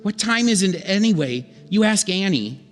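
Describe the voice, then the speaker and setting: A man's voice: high voice, lively intonation, normal speed. A man narrating a comic sketch theatrically in old-time radio style, on a reverberant theatre stage.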